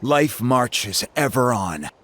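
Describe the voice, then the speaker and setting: Casting: stately game ceremony leader, solemn, mid-range voice, faint music. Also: male voice, medium pitch, lively intonation, slow, a bit bright. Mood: solemn, ceremonial. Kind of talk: video game character acting.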